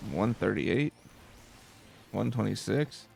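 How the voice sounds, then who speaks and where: A man with a fairly low, gravelly voice hosts a relaxed let's play. He talks casually, half to himself, as he plays, with faint music behind him. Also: slow. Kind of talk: calm, slow-paced gameplay commentary.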